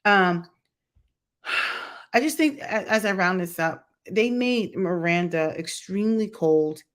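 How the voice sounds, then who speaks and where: A woman's voice: fairly low pitch, slow. A middle-aged woman giving candid, casual opinion commentary as a vlogger, talking in a chatty, blunt way with mocking asides. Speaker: vlogger reviewing a TV show.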